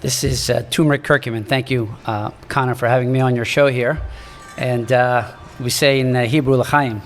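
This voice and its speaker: A man in his sixties from Morocco, a native French speaker, telling his life story as a podcast guest in a halting, earnest way. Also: mid-range voice, steady tone, big-room sound. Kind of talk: reflective storytelling in an interview.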